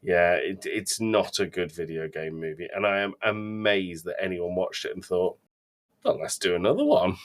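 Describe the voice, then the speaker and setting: A man with a fairly low voice talks as a podcast co-host. His delivery is casual chat, emphatic and full of opinion. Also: animated, moderate pace.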